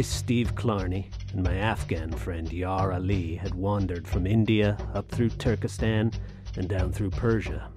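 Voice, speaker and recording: Low-voiced, world-weary adventurer played by a man, over music. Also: natural voice. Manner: measured, literary storytelling with wry asides. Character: wry, world-weary male soldier of fortune narrating his adventure. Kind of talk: dramatic audio drama narration and dialogue.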